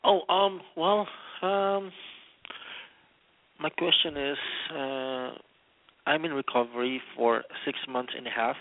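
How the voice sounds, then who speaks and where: A man from the Philippines calling in to a radio show, halting and full of fillers. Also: medium pitch, fairly even intonation, no room sound. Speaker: caller. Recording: tinny phone line.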